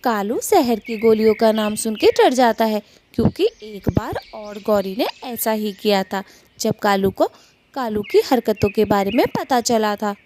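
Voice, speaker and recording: Lively, high-voiced woman narrating a story. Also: unhurried, a little hiss or hum. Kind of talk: scripted children's story narration.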